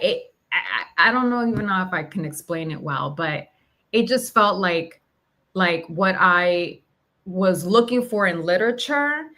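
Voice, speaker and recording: A woman talking reflectively and casually about personal experience, feeling her way through her thoughts in a halting, searching delivery. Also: fairly low pitch, fairly bright tone. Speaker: podcast host sharing personal reflections. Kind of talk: reflective, casual spiritual conversation.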